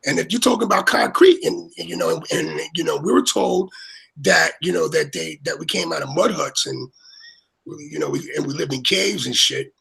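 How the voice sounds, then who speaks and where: A man from Brooklyn with a New York accent, chatting casually over a video call, swearing and excitedly repeating "you know what I mean". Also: fairly high pitch, normal speed, creaky, breathy edge, low-quality recording.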